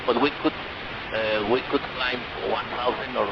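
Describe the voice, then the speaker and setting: A man speaking as a pilot in broken, halting phrases over a radio transmission. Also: mid-range voice, steady tone. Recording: very noisy, muffled, broken cockpit radio.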